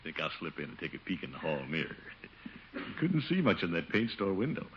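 An actor playing a vain, pompous middle-aged uncle and town official in a 1950s radio sitcom. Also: male voice, on the low side. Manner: pompous, self-satisfied chuckling blusterer. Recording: muffled, lightly noisy old radio broadcast.

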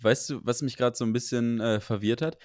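A man's voice: medium pitch, steady tone, fairly quick, a little mellow, no echo, clean studio sound. A young man in casual podcast chat, talking in a tentative, rambling, colloquial way as a co-host. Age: young adult.

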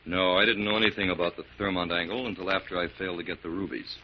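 A man's voice: sits low, fairly even intonation, quick pace, natural voice. A man playing a captured killer confessing in a resigned, matter-of-fact way in a 1940s radio drama. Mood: resigned confession. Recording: muffled, noisy 1940s radio recording.

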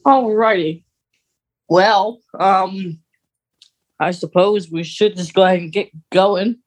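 A man's voice: sits high, slow. A man co-hosting a podcast in a casual, conversational style. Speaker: podcast co-host.

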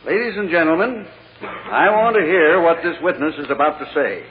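An actor playing a kindly, patient judge with gentle, reassuring authority in a 1940s radio drama. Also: male voice, on the high side, expressive, normal speed, natural voice. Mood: firm, kindly. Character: kindly, patient male judge calming a courtroom. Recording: dull, noisy old radio recording.

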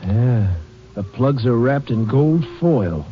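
Ranger sidekick, eager, bouncy tone, muffled old radio. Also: male voice, medium pitch, lively intonation, takes it slowly, slight background noise. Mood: plain. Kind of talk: 1940s radio acting.

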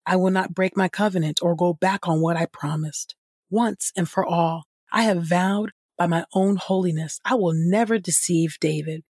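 A woman reading scripture as a podcast host, in a measured style with deliberate, reverent phrasing. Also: lowish voice, a little mellow, breathy edge, no echo, clean studio sound.

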